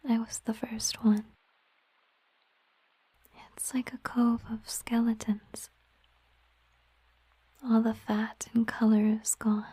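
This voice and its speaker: A woman voicing a gentle, affectionate siren in a whispered ASMR roleplay, speaking softly and intimately in an unhurried, musing way, close to the microphone. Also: on the high side, no room sound, rough recording. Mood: soft, wistful. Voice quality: soft, breathy whisper. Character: gentle, affectionate female siren, a mermaid girlfriend. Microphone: close microphone, dry sound.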